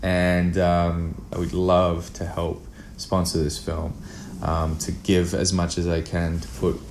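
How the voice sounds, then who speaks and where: A man with a very low voice talks casually as an interview guest. He is earnest and emphatic, circling back to repeat key phrases. There is some noise and room ambience behind him. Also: completely flat delivery, slow pace, darkish tone. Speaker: podcast guest, filmmaker.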